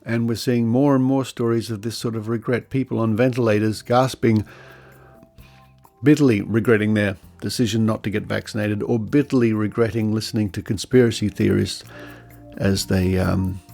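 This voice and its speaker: Man, low pitch, gravelly creak, podcast host, news commentary, conversational asides. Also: little pitch movement, moderate pace, darkish tone, soft background music. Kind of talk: news commentary with quoted reading.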